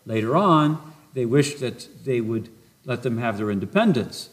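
A man's voice: mid-range voice, animated, moderate pace. A man who is a parish priest, answering interview questions in a thoughtful, rambling way with frequent restarts.

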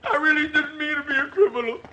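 Shrill, blubbering cowardly crook. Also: male voice, fast talker. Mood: whimpering. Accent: American. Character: cowardly, whiny, blubbering small-time crook. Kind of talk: 1950s American radio comedy acting. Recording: old radio recording, roomy with crowd.

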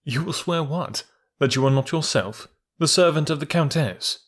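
A man's voice: a little high, normal speed, pitched above the performer's own voice, lightly acted. A man voicing a hard middle-aged male ex-detective turned blackmailer, who speaks dry, firm and precise. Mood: cool.

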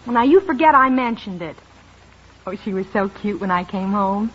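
A woman's voice: mid-range voice, animated, moderate pace, fairly bright tone, slightly rough. An actress playing a sweet, considerate young American mother in an old-time radio comedy. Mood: sweet, apologetic. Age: young adult. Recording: muffled old radio recording.

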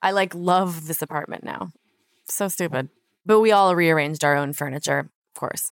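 A woman's voice: deep voice, normal speed, no room sound. A woman in casual conversation, telling anecdotes in slangy language and quoting both sides of exchanges. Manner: slangy, retells exchanges in quoted dialogue. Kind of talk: casual chat, animated anecdotes.

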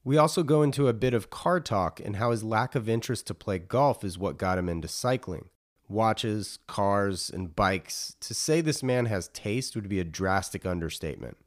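A man's voice: fairly low pitch, animated, moderate pace. A man hosting a podcast, speaking as a presenter and interviewer. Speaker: podcast host. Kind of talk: scripted narration and interview.

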